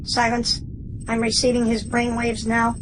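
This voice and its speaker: An actress playing a disdainful, precise alien observer in a radio drama, clipped, formal and emotionless. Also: female voice, fairly high pitch, monotone, measured pace, noisy background, lightly acted. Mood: clinical, commanding.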